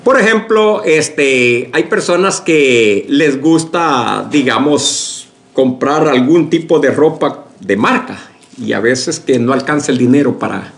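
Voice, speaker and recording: Slow-preaching pastor, lively pitch. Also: male voice, creaky. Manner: slow, unhurried preaching with many fillers and restarts; addresses the congregation as brothers, asks for agreement. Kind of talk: sermon.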